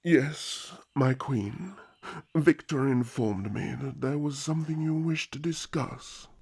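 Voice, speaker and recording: A man's voice: a little high, takes it slowly, a little mellow, husky, no echo, full character voice. A man playing a stern, old-fashioned, exasperated elf king with a regal, blustering manner in a fantasy audio roleplay. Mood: formal.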